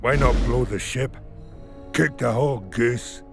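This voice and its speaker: A man playing a gruff, sweary army sergeant, a wary lone survivor, speaking bluntly and sardonically in an audio drama. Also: mid-range voice, a little slow, music in the background. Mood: angry, blunt. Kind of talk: dramatised science fiction audio story.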